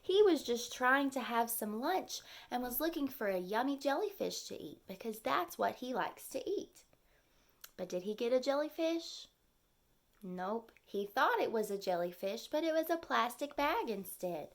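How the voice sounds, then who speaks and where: A woman's voice: a little high, expressive, normal speed. A woman reading and explaining a children's story expressively, in simple phrases aimed at young listeners.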